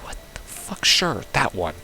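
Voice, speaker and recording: A man's voice: medium pitch, big pitch swings, measured pace, sharp tone, slight background noise. A man giving casual, baffled, sweary commentary as he plays through a visual novel. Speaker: gamer playing a visual novel. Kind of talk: casual playthrough commentary, reading game text aloud.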